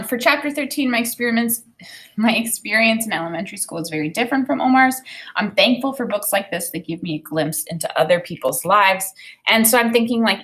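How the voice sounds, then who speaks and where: A woman in her thirties, an elementary teacher, reading a children's novel aloud and explaining it in an expressive way. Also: mid-range voice. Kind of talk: read-aloud of a children's novel with think-aloud commentary. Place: small indoor room with bookshelf and whiteboard.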